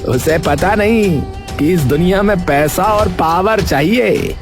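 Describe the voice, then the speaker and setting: A man playing a greedy, corrupt village schemer in an animated story, smug and mocking, with music behind. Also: high-pitched, slow pace. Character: gloating, greedy corrupt village politician and contractor cronies. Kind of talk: animated moral story acting.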